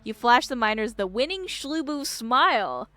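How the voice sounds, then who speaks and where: A woman streamer reading a game aloud and reacting in an expressive, casual, sweary way. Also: a little high, normal speed, no room sound.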